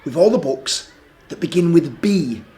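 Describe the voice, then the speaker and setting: A man voicing a sensible older brother in a storybook, calm and reasoning. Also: on the high side, unhurried. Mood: patient. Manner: calm, reasoning, gently shushing. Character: patient older brother, young boy, sensible.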